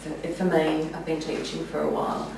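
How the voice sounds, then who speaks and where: Female yoga teacher, reflective discussion, rather low voice, clear background noise in a reverberant space. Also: completely flat delivery, moderate pace. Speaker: experienced yoga teacher in training session. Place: echoey indoor room, from a distance. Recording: very echoey room, distant mic, clear noise.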